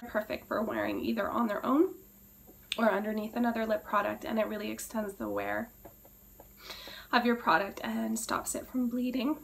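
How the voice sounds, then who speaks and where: A woman with a hoarse, cracking voice she says she is losing, chatting casually through a beauty product review and often clearing her throat. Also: mid-range voice, lively intonation, moderate pace, a little hiss or hum. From Canada. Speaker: beauty vlogger.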